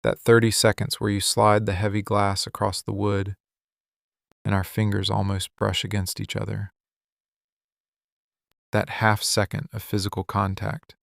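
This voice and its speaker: A low, gravelly mafia boss, acted out by a man, possessive and lovesick, in an intimate boyfriend ASMR roleplay. He talks in short, deliberate phrases with weary intensity and barely moves off one note. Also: dry recording, clean studio sound. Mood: longing, intense.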